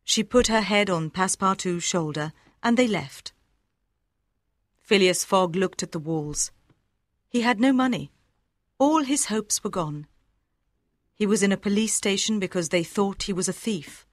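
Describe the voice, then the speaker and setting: A woman reading scripted story narration for learners in a measured, clearly enunciated way. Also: mid-range voice, animated, no room sound, clean studio sound. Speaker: audiobook narrator.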